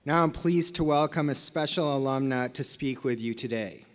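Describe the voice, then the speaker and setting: A man formally introducing a guest speaker at a graduation ceremony, reading a prepared bio in a measured way. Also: medium pitch, slight background noise. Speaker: ceremony presenter. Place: large arena.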